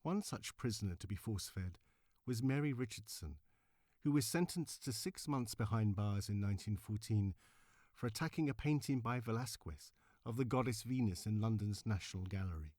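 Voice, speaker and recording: A man reading scripted historical narration in a measured, formal, articulate manner. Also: lowish voice, lively intonation, a little mellow, no echo, clean studio sound. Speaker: narrator, reader. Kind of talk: scripted documentary-style narration.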